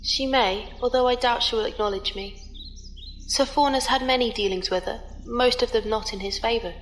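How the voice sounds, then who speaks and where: Composed, higher-pitched squire , played by an actress. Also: female voice, normal speed, faint music behind, natural voice. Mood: calm, advising. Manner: calm, precise, formal. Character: composed, formal female squire and advisor to captain. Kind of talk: audio drama acting.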